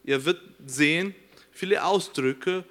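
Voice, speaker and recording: A man's voice: on the high side, unhurried, fairly bright tone. A man from Brazil whose first language is Portuguese, speaking German with a Brazilian accent, presenting earnestly and searching for words at times. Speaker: missionary. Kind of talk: presentation to a congregation.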